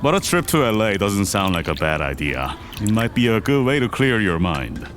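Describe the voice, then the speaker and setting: A man's voice: lowish voice, sing-song, moderate pace, fairly bright tone, soft background music. An actor playing a caring, firm middle-aged Korean businessman father with a dry manner in an audio drama. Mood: supportive.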